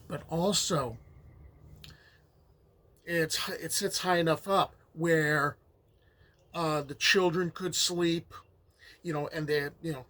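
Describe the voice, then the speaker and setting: A middle-aged man who grew up speaking Ukrainian at home explains old folk beliefs like a history teacher. His voice sits a little high and he takes his time, wandering through thoughts with plenty of "uh" and "you know.". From United States. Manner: relaxed and rambling, with many fillers and restarts. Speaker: history teacher and folklore host. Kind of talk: casual lecture on folklore.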